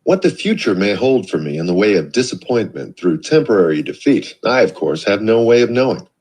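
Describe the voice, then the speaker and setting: A man reading an audiobook in a measured, earnest narration. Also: fairly low pitch, sing-song, a little mellow, breathy edge.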